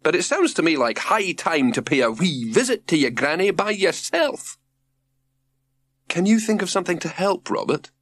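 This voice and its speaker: A kindly, homely woman in a children's audiobook, put on by a man. She talks briskly in a raised, animated voice, recorded close and dry. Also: sits high, no echo, pitched above the performer's own voice, heavily acted.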